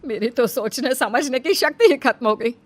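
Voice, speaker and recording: A woman's voice: sits high, lively intonation, normal speed, a little hiss or hum, voice pushed up. A woman voicing an anxious, exclaiming middle-aged mother-in-law in a cartoon story. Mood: alarmed, worried. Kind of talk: Hindi cartoon story acting.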